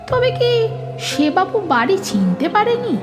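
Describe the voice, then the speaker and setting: A woman narrator reading a scripted horror story in an expressive, suspenseful, dramatic storytelling manner. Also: very high voice, unhurried, over music.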